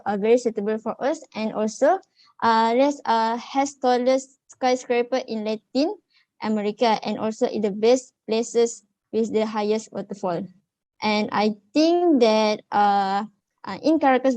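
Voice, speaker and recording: Warm-toned Malaysian young woman, hesitant. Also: fairly high pitch, no room sound. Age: 20s. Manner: hesitant, halting delivery. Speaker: student discussion participant. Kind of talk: group discussion.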